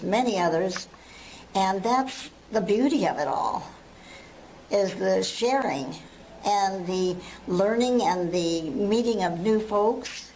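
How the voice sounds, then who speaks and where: A woman's voice: mid-range voice, a little mellow, a little hiss or hum, poor audio. An older woman giving an earnest, enthusiastic unscripted testimonial.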